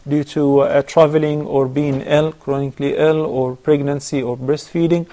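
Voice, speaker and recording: A man answers viewers' questions as the sheikh of a call-in program. His voice sits a touch high but stays soft and mellow. He teaches in a measured way, counting off points. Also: level tone. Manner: measured, instructive, lists steps. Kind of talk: religious advice on a call-in show.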